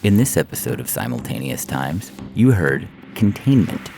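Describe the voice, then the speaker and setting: A man's voice: extremely low pitch, sing-song, normal speed, creaky, over music. A man reading a science fiction story as narrator in a scripted audio fiction style.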